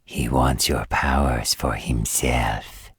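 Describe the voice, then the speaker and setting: Roleplay villain: a lying, possessive gremlin, very raspy menacing whisper, extremely low, dry sound. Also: male voice, fairly even intonation, a bit bright, dry recording, heavily acted. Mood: defensive, sly. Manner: slow, menacing, insinuating. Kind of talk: roleplay audio drama acting.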